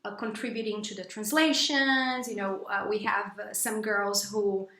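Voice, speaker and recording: A woman's voice: moderate pace, roomy sound. A Brazilian woman who speaks English as a second language with a Brazilian Portuguese accent, chatting casually with many fillers and restarts. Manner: chatty, full of fillers and restarts, bursts into high excited quotes. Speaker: English teacher and vlogger. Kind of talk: casual chat to camera.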